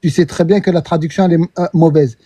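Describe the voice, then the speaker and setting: A man with a husky voice explaining his point in a measured way during an online debate. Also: fairly high pitch, steady tone, warm tone, no echo. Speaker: debate participant.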